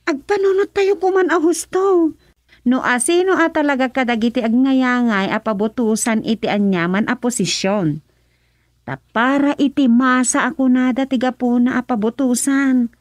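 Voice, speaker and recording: A woman's voice: a little high, no echo, clean studio sound, natural voice. A woman with an Ilocano accent playing an earnest, opinionated village daughter in a radio drama. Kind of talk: radio drama acting, conversational.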